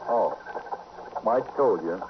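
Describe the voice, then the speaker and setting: Sly western troublemaker. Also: male voice, on the low side, expressive, slow pace. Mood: mock-innocent. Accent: American rural Western. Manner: mock-polite, taunting. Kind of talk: 1950s western radio acting. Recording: noisy, dull vintage radio recording.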